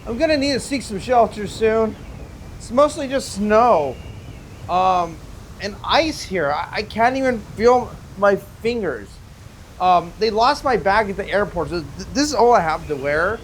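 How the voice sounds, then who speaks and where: A man's voice: high-pitched, expressive, unhurried, slight background noise, low-quality recording. A man voicing a flustered male field reporter stuck in a snowstorm, stammering as he talks.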